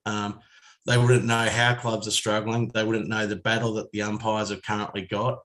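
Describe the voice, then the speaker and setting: An Australian man talking sport on the radio, blunt and opinionated, pausing on lots of ums. His voice sits a little low. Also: little pitch movement, a bit bright, low-quality recording. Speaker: radio panelist. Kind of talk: casual sports talk radio. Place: radio studio.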